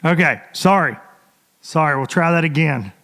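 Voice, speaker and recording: A man hosting a casual podcast, hoarse and rambling, chatting loosely to his listeners into a microphone. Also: a little high, animated, moderate pace, fairly bright tone. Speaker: podcast host. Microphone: studio microphone.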